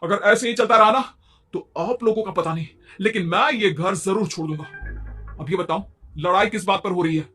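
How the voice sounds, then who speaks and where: A harried young husband voiced by a man in a moral-story cartoon. His voice is high, and he pleads and complains in exasperation, with music running underneath. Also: moderate pace, bright, forceful tone. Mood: exasperated.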